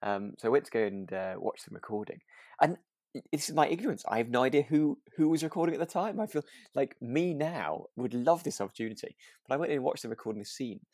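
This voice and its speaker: Animated British man telling stories casually. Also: mid-range voice, fast talker, no echo, studio quality. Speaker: podcast co-host. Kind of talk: casual anecdotal chat.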